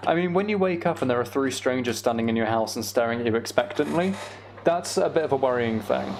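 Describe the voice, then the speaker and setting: A man streams a game and chats casually as he goes. His voice has a gravelly, fry-edged texture at an ordinary male pitch. He rambles with playful exasperation, and light noise sits behind him. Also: lively intonation, fast. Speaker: game streamer hosting a live chat stream. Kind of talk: casual gaming commentary and chat.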